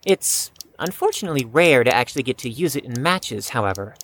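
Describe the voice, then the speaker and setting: Proud, anxious teenage chess prodigy, animated swoops. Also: mid-range voice, fairly quick. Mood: smug, composed. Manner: formal, precise, stammers when flustered. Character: anxious, proud, bookish fifteen-year-old chess-playing teenager. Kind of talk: audio drama acting.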